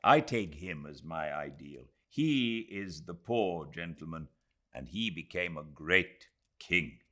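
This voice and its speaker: Casting: ardent, gracious gentleman played by a male reader, emphatic and animated, for a literary audiobook. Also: a bass voice, takes it slowly, full character voice. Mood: eager, proud. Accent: British. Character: eager, courteous, effusive Indian gentleman host. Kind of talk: audiobook character voice.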